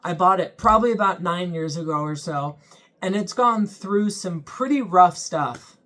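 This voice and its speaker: A man's voice: high-pitched, lively intonation, moderate pace, a bit bright. A young man hosting his own channel, giving a casual, earnest product review in a plain-spoken way with frequent ums. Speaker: channel host reviewing a weather radio.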